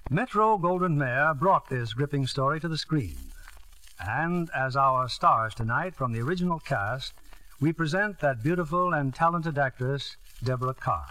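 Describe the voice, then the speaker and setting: Bright, polished male radio theatre host. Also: a little high, expressive, normal speed, rough recording. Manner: polished, formal presentation. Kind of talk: scripted 1950s radio introduction.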